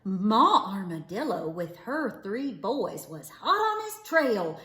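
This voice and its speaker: A woman reading a children's story aloud with theatrical, deliberate phrasing. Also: mid-range voice, very animated, a bit bright. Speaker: storybook reader. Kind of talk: expressive children's read-aloud narration. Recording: roomy, echoing indoor sound.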